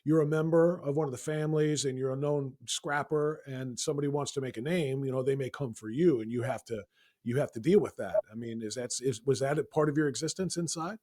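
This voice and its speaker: A man's voice: mid-range voice, fairly quick, dark tone. Male host, mid-range voice, probing interviewer who restarts phrases. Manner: probing, repeats words while framing questions. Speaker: podcast host. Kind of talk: interview questions.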